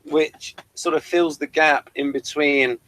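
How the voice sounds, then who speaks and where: British man, sharp bright voice, slow halting delivery, casual livestream host. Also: on the high side, fairly even intonation, rough recording. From Britain. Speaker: host of online hangout. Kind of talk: casual review chat. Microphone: webcam livestream setup.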